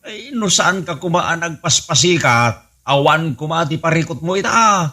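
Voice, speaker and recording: A man's voice: fairly high pitch, expressive, measured pace, pushed and bright, full character voice. A man voicing an exasperated husband who scolds his wife. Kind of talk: comedy radio drama acting.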